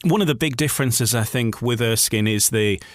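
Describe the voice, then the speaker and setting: A man's voice: medium pitch, normal speed. A man hosting a conversational radio interview, politely leading into his questions at some length.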